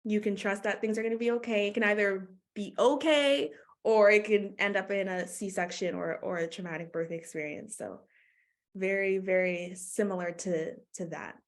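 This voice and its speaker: A woman from Canada, talking casually as a guest in an interview, full of "like" fillers and quick laughs. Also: mid-range voice. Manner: chatty, many "like" fillers, laughs often. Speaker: guest, doula.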